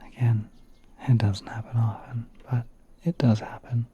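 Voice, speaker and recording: A man playing a gentle, courteous spider prince in an ASMR roleplay, speaking in a soft, breathy half-whisper with hesitant, unhurried politeness. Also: medium pitch, little pitch movement, dull audio. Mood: calm, explaining. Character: gentle, courteous spider prince soothing a guest in his garden.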